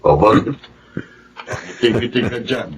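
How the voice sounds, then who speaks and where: A man's voice: on the low side, expressive, a little slow, husky, full character voice. A man with a Filipino accent playing a fatherly senior military officer in a radio drama, commanding yet friendly. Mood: brisk, curious.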